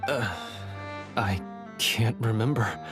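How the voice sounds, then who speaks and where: An actor playing a troubled, earnest male heir of a monster-hunter family in a video game, with a dramatic, halting delivery. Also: fairly low pitch, over music. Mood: bitter, confused.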